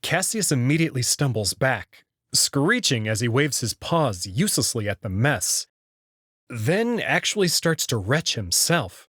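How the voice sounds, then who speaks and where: A male narrator reads a visual novel in the first person. His voice is husky with lively, dramatic intonation, and the recording is dry. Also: medium pitch, measured pace, no echo.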